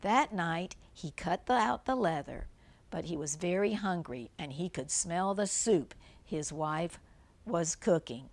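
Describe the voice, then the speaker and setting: A woman's voice: on the low side, lively intonation, unhurried. An older woman reads a children's storybook aloud like a grandmother, adding simple, chatty explanations for a young listener.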